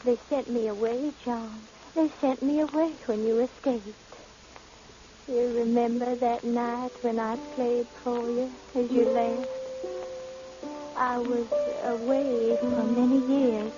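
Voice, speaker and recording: An actress playing a frail, lovelorn old woman in a vintage radio drama, speaking in a halting, dreamy way. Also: on the high side, level tone. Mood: wistful. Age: older. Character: frail, wistful, lovelorn old woman waiting for lost love. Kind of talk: 1940s radio drama acting. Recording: dull old radio recording, music behind.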